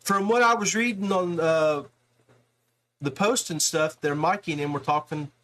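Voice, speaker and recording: A man's voice: fairly high pitch, moderate pace, a bit bright. A middle-aged man hosting a podcast, talking in an informal, rambling, joshing way. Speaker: podcast host. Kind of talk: casual interview.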